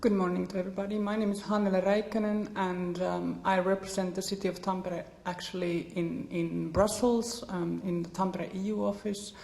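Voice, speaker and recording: A woman introducing herself at a round-table meeting in a council hall, speaking haltingly with ums. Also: fairly low pitch, little pitch movement, vocal fry, a little mellow. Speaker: city EU office representative. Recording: echoey hall.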